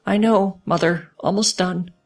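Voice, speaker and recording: Man voicing an anguished young mare, pitched up. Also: fairly even intonation, a little slow, far from the mic, soft background music. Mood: flustered. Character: young mare socialite, anguished but masking it with poise.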